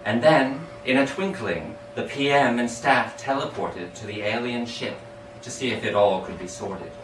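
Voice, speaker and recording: A man's voice: medium pitch, normal speed. Echoey, theatrical man reciting verse. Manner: expressive, dramatic, sing-song rhyming delivery. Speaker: amateur poet reading their own poem. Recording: echoey room, distant mic, background hiss.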